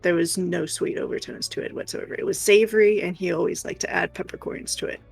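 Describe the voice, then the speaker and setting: Woman livestream co-host, creaky voice, casual and rambling, thinking aloud over background music. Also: fairly low pitch, fairly even intonation, fast talker.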